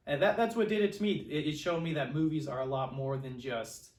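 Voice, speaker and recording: A man's voice: on the high side, expressive, fairly quick, darkish tone. A man, casually discussing films as a podcast co-host in an enthusiastic, earnest way, recorded in a roomy space away from the microphone. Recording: roomy echo, distant from microphone.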